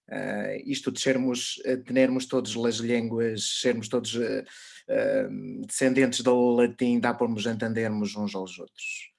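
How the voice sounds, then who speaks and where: Man speaking casually in a video-call talk. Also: medium pitch. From Miranda do Douro, northeast Portugal. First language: Mirandese. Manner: relaxed, loose, frequent hesitations and restarts. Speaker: teacher giving a conference talk. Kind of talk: informal lecture.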